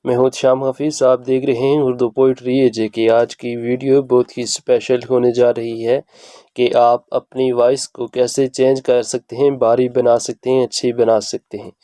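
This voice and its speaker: Deep, mellow-voiced man giving a tutorial. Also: level tone, moderate pace, no room sound. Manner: instructional, repeats each step. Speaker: video host giving an app tutorial. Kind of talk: spoken step-by-step how-to tutorial.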